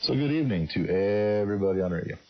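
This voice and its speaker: A man chatting casually as an amateur radio operator, his voice bassy and muffled over a radio transmission. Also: lively intonation, fast talker. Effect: ham radio transmission. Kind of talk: casual ham radio chat. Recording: dull radio audio with background chatter.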